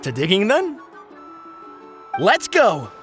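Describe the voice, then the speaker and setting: Game villager, high voice, swooping intonation, polite, eager, unsure, music behind. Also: male voice, wildly swooping pitch, takes it slowly, exaggerated voice.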